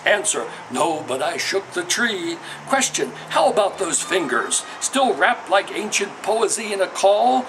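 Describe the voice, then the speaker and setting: A man gives a literary poetry reading in a measured, declamatory manner. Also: high voice, gravelly. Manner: measured, declamatory question-and-answer delivery. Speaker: poet reading own work. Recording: noisy ambience behind the voice.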